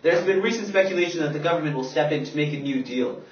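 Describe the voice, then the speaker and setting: A man's voice: on the high side, quick pace, background noise, close to an everyday voice. A man playing an earnest 1930s radio news anchor in a scripted broadcast drama. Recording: echoey, distant, dull amateur recording.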